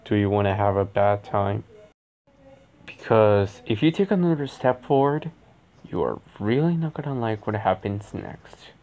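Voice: mid-range voice, lively intonation, unhurried, dark tone. A performer voicing a lazy, playful cartoon fox big brother, with a drawling, theatrical delivery. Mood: calm menace. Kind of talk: character voice lines, acted.

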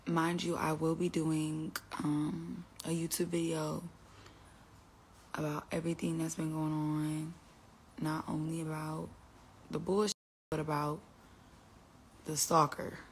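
A woman's voice: low voice, little pitch movement, takes it slowly, long pauses, slight background noise, rough recording. A young woman talking casually into her phone on a live stream at home, rambling and trailing off as she speaks. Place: indoors at home, phone selfie. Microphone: phone held close.

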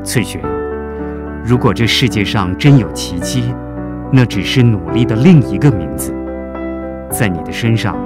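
A kind male schoolteacher, played by a man, speaks in a low voice with radio drama delivery. He is earnest and encouraging, with music strong behind him. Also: lively intonation, moderate pace, pauses often, close to an everyday voice.

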